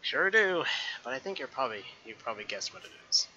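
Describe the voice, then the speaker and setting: A man's voice: mid-range voice, sing-song, quick pace, lo-fi sound, a little hiss or hum. A man giving casual let's play commentary with wry, joking asides. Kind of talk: casual gameplay commentary, reading dialogue aloud. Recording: loud game music behind voice. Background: loud game music.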